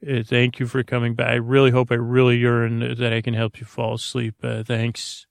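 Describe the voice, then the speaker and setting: A man hosting a podcast with a rambling bedtime monologue, halting and digressive, goofy and self-deprecating as he talks listeners toward sleep. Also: mid-range voice, completely flat delivery, vocal fry, no room sound, studio quality. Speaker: podcast host. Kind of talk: rambling, meandering bedtime monologue to help listeners fall asleep.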